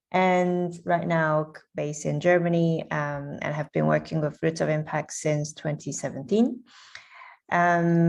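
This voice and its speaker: A woman's voice: low voice, vocal fry. A woman in her thirties from Hong Kong, answering interview questions in a hesitant, casual way with many fillers. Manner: hesitant, many ums, laughs lightly. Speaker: guest speaker.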